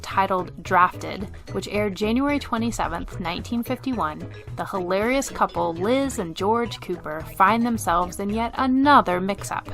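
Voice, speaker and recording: A woman's voice: medium pitch, fairly bright tone. A woman hosting a radio theater program, reading a scripted introduction. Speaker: host and director.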